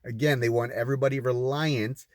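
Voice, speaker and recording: A man's voice: medium pitch, steady tone, a little fast, no room sound, studio quality. A man reading aloud and adding his own off-the-cuff commentary, with emphatic, rhetorical delivery. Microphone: recording himself on camera.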